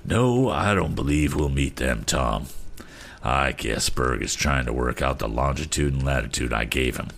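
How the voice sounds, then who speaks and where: A man voicing a seasoned sea captain, hearty and politely measured, in a storybook reading. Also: a bass voice, expressive, creaky, a bit bright, pitched below the performer's own voice, exaggerated voice. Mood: calm, reassuring.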